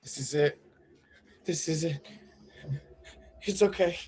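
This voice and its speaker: A man playing a despairing, guilt-ridden wounded fighter, husky and breathy, in a dramatic table read. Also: a little high, takes it slowly, background noise. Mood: pained, pleading.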